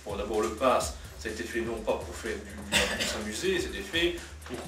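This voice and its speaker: A man's voice: on the low side, animated, fairly quick. A man speaking as a local politician in a spontaneous debate, arguing insistently and repeating his phrases. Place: cafeteria. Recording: echoey noisy room, slightly distant.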